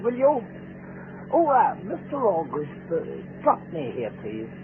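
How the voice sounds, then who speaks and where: An actor playing a mysterious, ominous stranger who is a messenger of death in a 1940s radio drama, deliberate and insinuating in his politeness. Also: sits high, huge pitch swings, narrow, tinny audio, full character voice. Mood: quietly menacing. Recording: scratchy band-limited 1940s radio recording.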